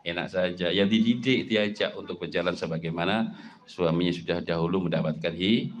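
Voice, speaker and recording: A middle-aged Javanese man giving a lively sermon, with a Javanese accent, often jumping up in pitch for emphasis and asking rhetorical questions. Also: brisk. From Java, Indonesia. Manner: animated, swings pitch up for emphasis, rhetorical questions, mimics speech. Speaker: Islamic preacher.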